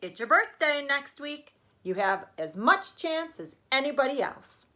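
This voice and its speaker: A kindly old grandmother in a storybook, read by a woman. She is reassuring and emphatic, with a bright tone and lively pitch. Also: measured pace, heavily acted. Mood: encouraging.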